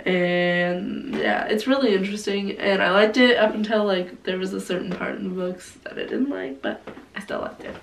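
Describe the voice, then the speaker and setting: Hoarse young woman, casual gushing book chat, lots of "like", roomy echo, faint hiss. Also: medium pitch, steady tone, normal speed. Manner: gushing, heavy use of "like". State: hoarse, coughing. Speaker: book vlogger. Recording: roomy, distant mic, faint noise.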